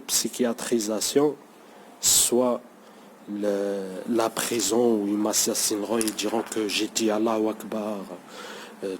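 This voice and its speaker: Slow, hesitant non-native man. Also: lowish voice, slight background noise. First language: not French. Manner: hesitant, full of fillers and restarts, keeps saying "you see". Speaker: vlogger. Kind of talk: unscripted talk to camera.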